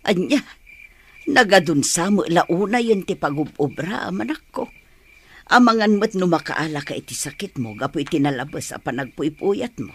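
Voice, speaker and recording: A man's voice: fairly high pitch, expressive, normal speed, a bit bright, pitched above the performer's own voice. A man voicing a caring, worried elderly mother in an audio drama, fussing tenderly. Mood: tender worry. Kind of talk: Ilocano audio drama acting. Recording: noisy track with insect sounds.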